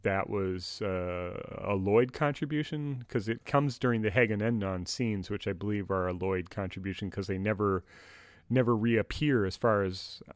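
A man's voice: on the low side, normal speed, no room sound. A middle-aged man co-hosting a podcast, chatting casually in a discussion. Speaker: podcast co-host. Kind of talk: casual discussion of a radio drama.

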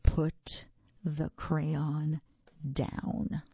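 A woman voicing a gruff, boastful male monster with a growling, menacing delivery in a children's story. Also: low voice, monotone, extremely slow, warm tone, dry recording, highs cut off, heavily acted.

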